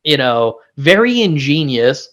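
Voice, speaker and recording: A man's voice: fairly high pitch, very animated, a little slow, a bit bright. A man chatting casually as he reviews as a show co-host, in a rambling, conversational and emphatic way. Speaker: pop-culture show co-host.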